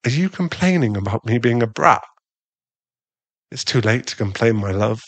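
A man's voice: medium pitch, expressive, a bit bright, dry recording. A man playing a jealous, doting werewolf husband in an intimate ASMR boyfriend roleplay, teasing and a little bratty. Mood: playful, bratty. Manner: slow, intimate, teasing, with sighs and stammers.

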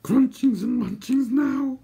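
A man's voice: very high-pitched, takes it slowly, gravelly, warm tone, breathy edge, pitched above the performer's own voice, heavily acted. A man voicing a cringing, hungry wild creature in a storybook, fawning and self-pitying, with whining, howling, childlike speech. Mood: hungry wheedling.

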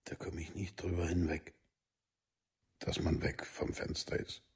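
An actor playing a worn-out, humiliated village father with a husky, gravelly voice in a documentary radio play. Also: low-pitched, little pitch movement, a little slow, full character voice. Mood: bitter, humiliated.

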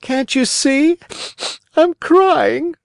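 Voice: high voice, very slow, creaky, no room sound, studio quality, voice pushed up, heavily acted. A narrator voicing an emotional, impulsive young wooden marionette boy in a storybook. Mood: tearful.

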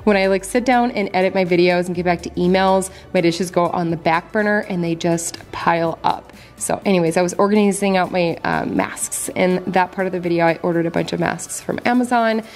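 A woman's voice: lowish voice, fairly quick, hardly any pauses. A young adult woman chatting casually to viewers in a voice-over, enthusiastic and friendly. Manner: chatty, enthusiastic, addresses viewers as you guys. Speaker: home vlogger. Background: soft background music.

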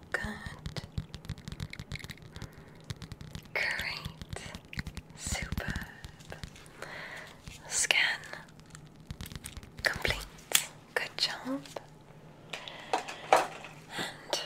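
A woman acts out a kindly witch examiner from a magic school roleplay. She whispers slowly and gently, soothing and encouraging, with a fairly high lilt whenever tone comes through. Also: low-quality recording.

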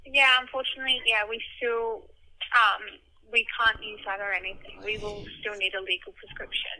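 A woman's voice: medium pitch, flat delivery, moderate pace, sharp tone. A woman speaking politely and hesitantly as a pharmacy worker on a customer service call over the telephone. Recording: thin telephone line.

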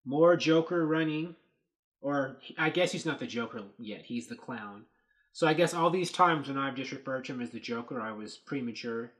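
A man in his thirties talks through movie photos in an easygoing, rambling way, pausing, restarting and filling gaps with "you know" and "like." His voice sits at an ordinary middle pitch. He speaks close to a desk webcam in a small dark room. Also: rough recording. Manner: rambling, thinking aloud with many fillers. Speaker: host. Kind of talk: casual commentary on set photos.

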